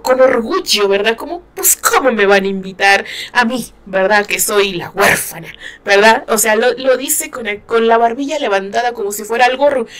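A woman with a northern Mexican accent and a fairly high voice talks through a romance novel. She giggles and sighs often and reacts with lots of feeling. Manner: giggly and expressive, sighing often. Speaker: book reaction host. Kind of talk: casual reading commentary.

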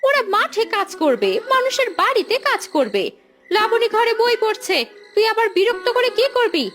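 Very high voice, swooping pitch, haughty scolding village aunt played by a woman, children's cartoon. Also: sing-song, normal speed, fairly bright tone, faint music behind, full character voice. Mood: scornful, irritated. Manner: scornful, scolding. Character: haughty, snappish well-off village wife and aunt.

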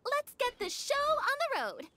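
Very high, sharp, lively, dramatic young woman director, game. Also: very noisy, exaggerated voice. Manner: commanding, dramatic, quick to panic. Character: theatrical bossy young woman film director.

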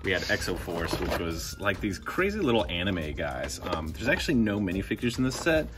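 A man's voice: low voice, big pitch swings, a little fast, music in the background. A young man with a Midwestern American accent, chatting enthusiastically as a vlog co-host while browsing a toy store, filmed on a handheld phone. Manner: chatty, enthusiastic, laughs often. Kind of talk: casual shopping vlog chat.

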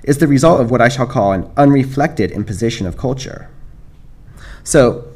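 A middle-aged American man giving a measured academic talk at a lectern, reading from notes with small restarts. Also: mid-range voice, animated, darkish tone, breathy edge. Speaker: panel speaker. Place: dark lecture hall, standing at a lectern. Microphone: lectern microphone.

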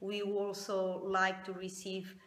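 A middle-aged woman gives informal interview answers in Portuguese-accented English. She speaks very slowly and haltingly, searching for words. Her voice is of medium pitch and echoes in a large living room. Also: completely flat delivery. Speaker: interview guest.

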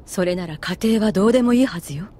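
An actress playing a stern, dignified military instructor in an anime audio drama, formal, cool and authoritative. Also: female voice, medium pitch, lively intonation, rapid-fire, mellow, lightly acted. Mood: cool, firm. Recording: music behind the voice.

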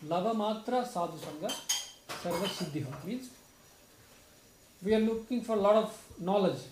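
A young man talks earnestly to a small devotional gathering in a room with a home shrine, his fairly high voice ringing around the space. He explains step by step, checking in with "right?", over a steady hiss of background noise. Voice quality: soft low speaking voice. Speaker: speaker summing up a satsang. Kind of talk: devotional talk to a small group. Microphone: stand mic in front, filmed by far camera. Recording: roomy echo with clear background noise.

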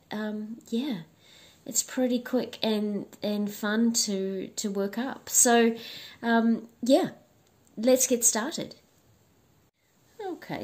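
A woman with a husky, flu-worn voice talks through a crochet tutorial in a relaxed, chatty way. She restarts her sentences and fills pauses with ums as she explains each step. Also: medium pitch. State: hoarse, recovering from flu. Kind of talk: casual instructional talk.

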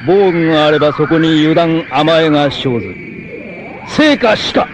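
A man playing a cocky, free-spirited, fearless martial-arts warrior in a dramatic 1980s anime, with theatrical, rough swagger. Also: a little high, expressive, moderate pace, noisy background. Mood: defiant, bold.

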